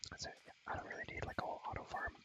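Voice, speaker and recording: Young man in a breathy, bright whisper. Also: very fast. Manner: rambling, repeats words and phrases, slangy. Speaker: ASMR creator showing a Minecraft world. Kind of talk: whispered ASMR, casual gaming chat. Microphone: close microphone.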